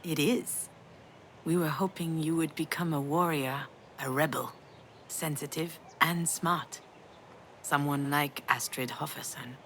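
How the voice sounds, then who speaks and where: Low-voiced, wry, tender mother. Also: unhurried, a little hiss or hum. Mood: tender, wistful. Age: adult. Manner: frank, wry, swearing, emotionally expressive. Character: grieving mother, sardonic, self-blaming, tender with her daughter. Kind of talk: dramatic video game voice acting.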